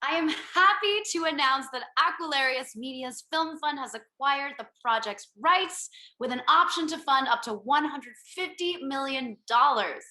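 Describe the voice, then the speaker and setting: High, bright executive played by a woman in an echoey room. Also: animated, moderate pace. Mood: proud, formal. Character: polished, self-assured media company executive woman. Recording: echoey room on video call.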